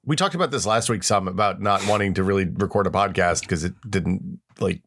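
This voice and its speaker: Rambling low-voiced man co-hosting. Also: brisk, vocal fry, fairly bright tone. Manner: rambling, many restarts, goofy. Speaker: podcast co-host. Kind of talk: casual chat.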